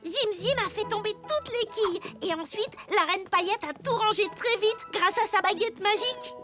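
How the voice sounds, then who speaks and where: A woman voicing a cheerful little wooden puppet boy who plays detective in a dubbed cartoon. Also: moderate pace. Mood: eager. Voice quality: high, bright, eager. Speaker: lead character. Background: children's music.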